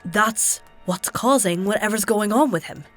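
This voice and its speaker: An actress playing a clever, defiant healer with a matter-of-fact, insistent manner in a fantasy audio drama. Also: female voice, medium pitch, unhurried, soft background music, close to an everyday voice. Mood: probing, persuasive.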